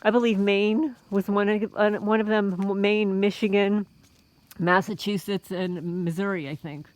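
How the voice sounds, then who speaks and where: A woman's voice: mid-range voice, fairly even intonation, measured pace. Middle aged lady from the bronx doing a casual vlog rant, indignant, talks right to her viewers. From the Bronx, New York. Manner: indignant, rhetorical, addresses listeners as folks. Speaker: homesteading vlogger.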